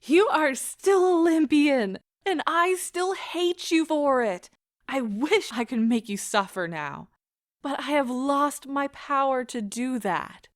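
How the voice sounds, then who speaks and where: A woman playing an anguished, proud wife in an audio drama, speaking with deliberate emotional intensity. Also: high voice, lively intonation, no echo, studio quality. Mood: bitter. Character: anguished, proud wife pleading as her marriage ends.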